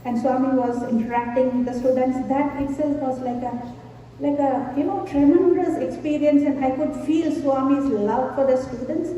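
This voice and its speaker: A woman from Sri Lanka giving a devotional talk to a gathering, slow, measured and reverent. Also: high voice, steady tone, dark tone. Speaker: invited speaker at a devotional gathering. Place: large hall. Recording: echoey hall from far off, dull, faint hiss.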